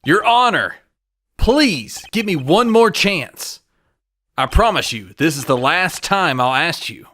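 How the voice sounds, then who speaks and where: A man playing an earnest, easily flustered young defense lawyer in an expressive game-fandub style. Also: a little high, slow pace, full character voice. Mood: determined. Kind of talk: game fandub courtroom drama. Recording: music behind the voice.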